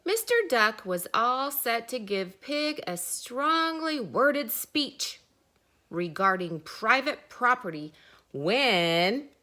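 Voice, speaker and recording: Slow, very animated woman reading a story. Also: on the high side. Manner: deliberate, dramatic pauses. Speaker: teacher. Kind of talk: animated children's story read-aloud.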